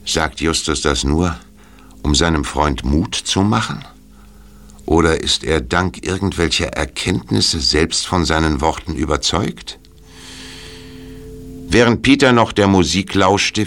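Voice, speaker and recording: A man's voice: extremely low pitch, a bit bright, a little hiss or hum, heavily acted. A man reading scripted suspense narration as the narrator of an audio drama.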